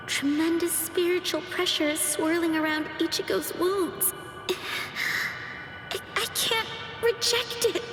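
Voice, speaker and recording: Very high-pitched, distraught anime girl. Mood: anxious. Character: gentle, distraught young girl, timid and pleading. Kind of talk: English anime dub acting.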